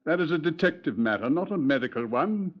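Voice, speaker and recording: A precise, lively-spoken pathologist. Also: male voice, fairly high pitch, fairly quick. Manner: formal, precise, authoritative. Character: precise, formal male pathologist, confident expert. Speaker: Home Office pathologist. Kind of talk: 1950s radio drama acting. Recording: lo-fi vintage radio transcription.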